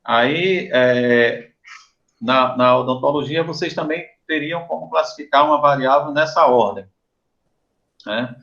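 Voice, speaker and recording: A man with a Brazilian Portuguese accent, a professor giving an informal explanatory lecture to students, often pausing to check in with "né?" and "tá?". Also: medium pitch, fairly bright tone, dull audio. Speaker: university professor.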